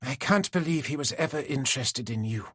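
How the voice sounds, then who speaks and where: A man voicing a glamorous middle-aged woman who turns hysterical and scornful, raising his voice to breathless, shrill cries. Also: animated, brisk, a bit bright, breathy edge, dry recording, clean studio sound.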